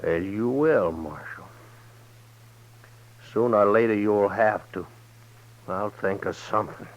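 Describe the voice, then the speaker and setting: An actor playing a taunting gunslinger who is itching for a fight in an old radio western, with a mocking, folksy manner. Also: male voice, on the low side, takes it slowly. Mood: menacing, taunting. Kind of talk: 1950s radio western acting. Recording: dull old radio drama recording.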